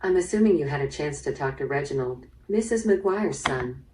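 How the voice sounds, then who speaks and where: A woman playing a probing, businesslike police detective in an interactive radio drama, measured in her questioning. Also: sits low, lively intonation, noisy background. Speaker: voice actor in interactive audio drama. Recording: played through speaker, slightly distant.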